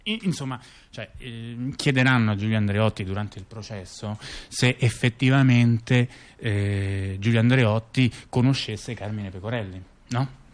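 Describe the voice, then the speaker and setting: A man performing conversational satirical storytelling, halting and digressive, repeating phrases and checking in with his listener. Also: fairly low pitch. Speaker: storyteller-performer in a two-person stage dialogue.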